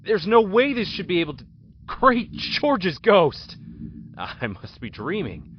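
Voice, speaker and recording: An actor playing an anguished, imprisoned political outcast with strange powers, speaking in theatrical, solemn soliloquy that can break into frantic outbursts. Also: male voice, high-pitched, huge pitch swings, takes it slowly, exaggerated voice. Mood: astonished. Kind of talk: audio drama acting, soliloquy. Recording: dull lo-fi dry recording.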